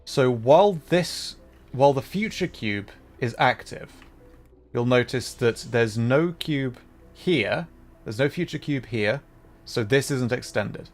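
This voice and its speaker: A man's voice: medium pitch, animated, takes it slowly, soft background music. A man doing casual live gameplay commentary, thinking aloud and playfully repeating words as he goes. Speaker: VTuber game streamer.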